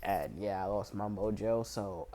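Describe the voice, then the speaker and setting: Hoarse sick young guy, slow unhurried vlog rambling, crude slang, coughs a lot. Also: on the low side, expressive, a little mellow, slight background noise. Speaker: YouTube voice creator. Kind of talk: casual vlog chat.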